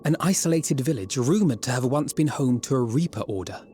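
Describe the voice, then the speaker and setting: A man's voice: mid-range voice, expressive, brisk, a little mellow, faint music behind, close to an everyday voice. A man acting an earnest soldier in a video game cutscene, giving a formal, composed, articulate briefing.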